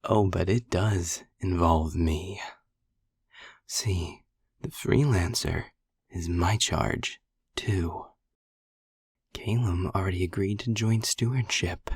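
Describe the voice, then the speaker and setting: A man's voice: sits low, takes it slowly, pauses often, no echo, clean studio sound, exaggerated voice. A man voicing a flirty, protective male incubus in an audio roleplay, with a teasing, cocky manner. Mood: protective, threatening. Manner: teasing, seductive, breathy.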